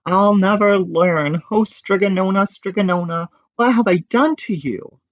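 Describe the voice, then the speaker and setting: A man's voice: high voice, measured pace, no echo, dull audio, pitched above the performer's own voice. A man voicing a big foolish young man in a storybook, tearful, remorseful and pleading. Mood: wailing. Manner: howling, sobbing, pleading.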